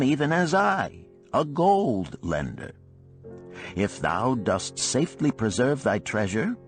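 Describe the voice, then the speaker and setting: A man voicing a wise, cautious older gold lender of ancient Babylon in a storybook, solemn and deliberate in archaic speech. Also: medium pitch. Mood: solemn, instructive. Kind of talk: storybook character reading.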